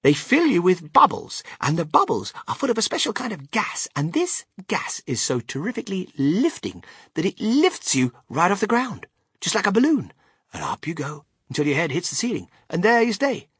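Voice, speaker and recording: A flamboyant impatient candy factory boss, super high swoopy voice, bright n exclamatory. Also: male voice, wildly swooping pitch, slightly rough, no room sound, clean studio sound, full character voice. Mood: gleeful. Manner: theatrical, exclamatory, brisk and dismissive. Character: excitable, eccentric male chocolate-factory owner, flamboyant and impatient.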